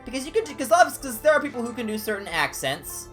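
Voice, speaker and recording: A man with a higher-pitched voice, chatting casually and discursively as a game streamer. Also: fast, faint music behind. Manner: animated, discursive, jumping between tangents. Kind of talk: casual rambling livestream chat during gameplay.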